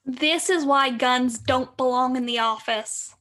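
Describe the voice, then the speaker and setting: A high-pitched office worker in a radio comedy, played by a woman. She speaks slowly, grumbling in a dry, deadpan way. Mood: dry complaint. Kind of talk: improv podcast radio play acting.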